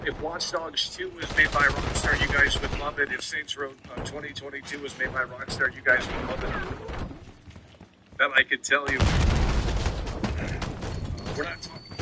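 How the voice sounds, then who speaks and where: Emphatic higher-voiced man ranting over loud gunfire. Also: very noisy. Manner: emphatic, repetitive, combative. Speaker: live stream host. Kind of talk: livestream rant to viewers about video game news. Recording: loud gunfire and clicks behind voice.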